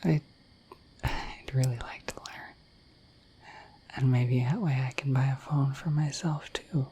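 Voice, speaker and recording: A woman voicing a shy, affectionate, dorky dragon in a soft, breathy, halting ASMR whisper, close to the microphone. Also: rumbling low, steady tone, slight background noise. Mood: bashful, curious. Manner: halting, gentle, earnest. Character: shy, dorky, affectionate female dragon, naive about humans.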